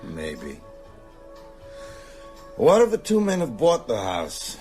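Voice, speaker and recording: A man's voice: medium pitch, wildly swooping pitch, slow, warm tone, breathy edge, soft background music, full character voice. An actor playing a sociable, shrewd older small-town man in an audio drama, conversational and probing. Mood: probing, uneasy. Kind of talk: naturalistic audio drama dialogue.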